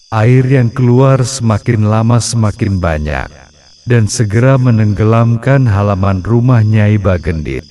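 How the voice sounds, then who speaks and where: A man narrating a scripted folktale in a measured, dramatic storybook manner. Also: mid-range voice, mellow.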